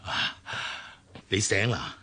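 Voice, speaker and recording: An actor playing a kindly, anxious older uncle in a Cantonese radio drama, soothing and insistent, with a Hong Kong accent. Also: male voice, sits low, big pitch swings, slow, bright, forceful tone, breathy edge, heavily acted. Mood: concerned. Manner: soothing, fatherly, hesitant.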